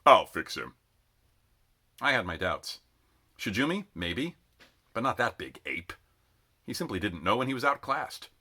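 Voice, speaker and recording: A man reading a novel aloud as an amateur narrator, in an expressive, dramatic audiobook style. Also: on the low side, slightly rough. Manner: animated, dramatic phrasing.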